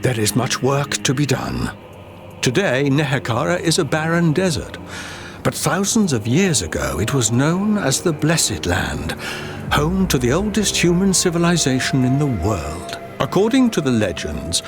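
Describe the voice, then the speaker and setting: A learned professor explains things in a measured, formal tone. His delivery rises and falls with lively intonation over a game's background music. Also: medium pitch. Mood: explaining. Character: scholarly male professor, expedition leader. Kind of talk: video game voice acting. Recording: game cutscene with music.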